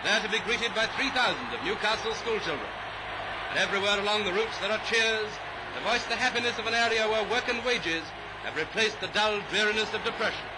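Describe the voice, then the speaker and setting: A man's voice: high-pitched, fairly quick, very noisy. A man giving formal, clipped 1930s newsreel narration in a declamatory, upbeat manner, on an old newsreel soundtrack. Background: orchestral music.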